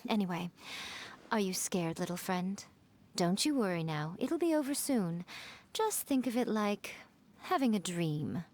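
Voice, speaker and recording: A woman voicing a sly, elegant fox spirit in a video game, teasing and coaxing in an unhurried, playfully condescending way. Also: medium pitch, sing-song, darkish tone. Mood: teasing, soothing. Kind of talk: video game character dialogue.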